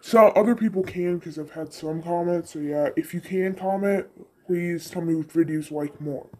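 A casual unboxing vlogger speaks through a voice changer in a dark, mellow tone at middling pitch. The delivery is offhand and halting. Faint noise sits behind the voice. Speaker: video creator presenting an unboxing. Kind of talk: casual chat. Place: indoors at a tabletop.

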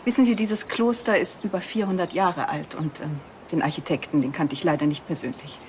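A woman acting a composed mother superior of a convent in a TV crime comedy, polite, measured and drily ironic. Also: fairly low pitch, steady tone, a little mellow, slight background noise, natural voice. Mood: dryly ironic.

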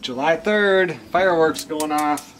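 A middle-aged man narrating a casual outdoor vlog at a lakeside camp in the woods, talking in a relaxed, matter-of-fact way. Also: fairly high pitch, a little slow, a little hiss or hum. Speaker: vlogger.

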